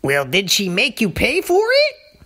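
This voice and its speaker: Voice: mid-range voice, huge pitch swings, a little slow, sharp tone, voice pushed up, full character voice. A performer voicing a small, wary talking lizard in a comedy skit, repeating words and speaking in uncontracted phrases. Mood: incredulous, prying. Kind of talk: comedy puppet-style dialogue.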